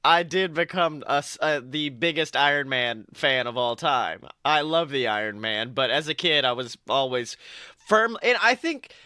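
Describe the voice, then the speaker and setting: A man's voice: medium pitch, animated, moderate pace, pushed and bright, clean tone, dry recording, studio quality. A man co-hosting a casual pop culture podcast, talking emphatically with strong opinions and free swearing. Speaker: podcast co-host. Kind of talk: casual podcast banter about films and comics.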